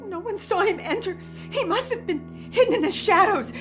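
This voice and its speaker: An actress playing a terrified, shaken woman witness in a gothic audio drama, halting and tearful. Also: very high voice, animated. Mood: frightened. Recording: music behind.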